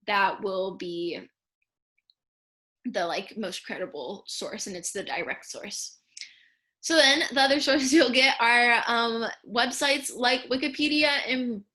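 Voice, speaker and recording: A woman's voice: medium pitch, sharp tone. A young woman giving a casual slideshow lesson on speech research, chatty with many fillers. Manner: chatty, lots of "um" and "like". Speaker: speech and debate student coach.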